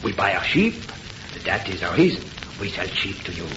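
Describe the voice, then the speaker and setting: A superstitious, servile French shopkeeper in a vintage horror radio drama. His lowish voice is very animated, with a French accent and hesitant, broken English. The old recording is muffled and noisy. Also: normal speed. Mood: eager, protesting. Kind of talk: old-time horror radio play acting.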